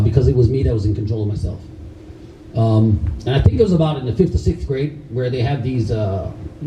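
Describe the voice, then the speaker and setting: A man's voice: mid-range voice, muffled recording, background noise. A man raised in Denmark, a karate instructor talking loosely to a group, full of fillers and restarts. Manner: loose, rambling delivery with frequent fillers and restarts. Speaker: karate instructor speaking at a camp. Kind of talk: talk to a seated group. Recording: roomy hall sound from a distance.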